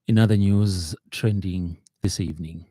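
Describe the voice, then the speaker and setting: A Zambian man reads the evening news on radio with a measured, formal delivery. His voice sits low and sounds very dark and mellow, recorded dry in a studio with no room echo. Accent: Zambian English. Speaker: radio newsreader. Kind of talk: news bulletin reading.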